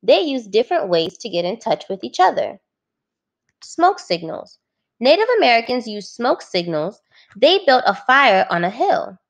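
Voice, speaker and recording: A woman teacher reading a children's book aloud, phrasing it clearly and adding simple explanations for young listeners. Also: a little high, muffled recording.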